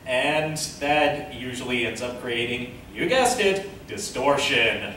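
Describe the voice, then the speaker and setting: A man's voice: mid-range voice, lively intonation, slow, bright, forceful tone. A man presenting an instructional panel in a casual, step-by-step explanatory way. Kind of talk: instructional convention panel talk. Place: indoor convention panel room, recorded from a distance. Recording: very echoey room, distant mic, faint noise.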